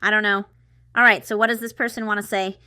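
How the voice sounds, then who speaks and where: A woman's voice: medium pitch, little pitch movement, quick pace, bright, forceful tone, clean tone, no room sound, studio quality. A woman giving a casual, intuitive tarot reading straight to the viewer, chatty and thinking aloud with rambling asides. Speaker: tarot reader and channel host.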